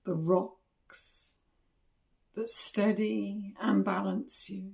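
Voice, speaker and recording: A woman leads a guided meditation in a medium-pitched voice with plenty of vocal fry. She speaks very slowly and gently, in short phrases with long pauses between them. The delivery is soothing and instructional, as from a body scan teacher. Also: flat delivery.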